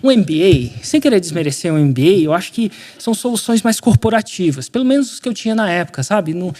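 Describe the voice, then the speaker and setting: A man from Brazil, a podcast guest, telling a story in casual conversation, animated and slangy, acting out quotes. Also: sits high, fast, slight background noise.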